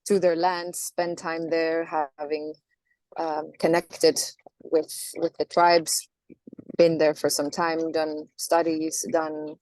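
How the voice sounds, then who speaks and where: Soft-spoken woman, thoughtful. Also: low-pitched, little pitch movement, no room sound, poor audio. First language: Norwegian. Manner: thoughtful, halting, with many restarts and fillers. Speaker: singer, interview guest. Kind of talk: reflective interview answers.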